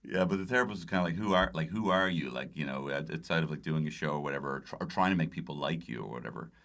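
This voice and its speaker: Very deep-voiced Irish man telling anecdotes. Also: very fast, gravelly, a bit bright. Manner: rambling, self-deprecating storyteller. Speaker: guest comedian. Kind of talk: casual anecdotal chat.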